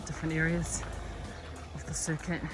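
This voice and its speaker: A woman's voice: low voice, monotone, moderate pace, over music. A young woman vlogging on a hiking trail, chatty and out of breath, chesty from a cold, filming on her phone. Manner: out of breath, chatty, sighing while climbing. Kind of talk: casual hiking vlog. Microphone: phone held while walking.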